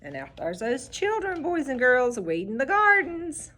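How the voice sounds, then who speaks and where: Female storybook reader for children: instructive, expressive, slow pace, lively pitch swings. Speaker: teacher reading aloud to children. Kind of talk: storybook read-aloud with asides to children.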